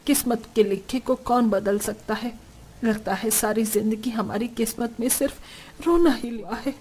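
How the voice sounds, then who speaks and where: A woman's voice: fairly high pitch, normal speed, darkish tone, a little hiss or hum, natural voice. A woman voicing a poor, weary, lamenting family woman in a melodramatic Hindi cartoon story. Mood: resigned. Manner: plaintive, melodramatic.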